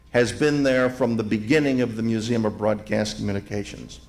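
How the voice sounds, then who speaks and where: A man delivering a ceremonial tribute to a live audience, speaking in deliberate, emphatic phrases with repetition. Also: medium pitch. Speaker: event speaker, museum president giving opening remarks. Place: hotel grand ballroom event.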